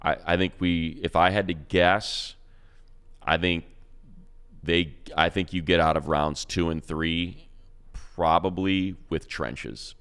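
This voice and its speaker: Very deep-voiced man riffing on football. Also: fairly even intonation, slow, fairly bright tone, clean tone. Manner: rambling, many restarts and repeated words. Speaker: radio play-by-play announcer and podcast co-host. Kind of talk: casual sports chat.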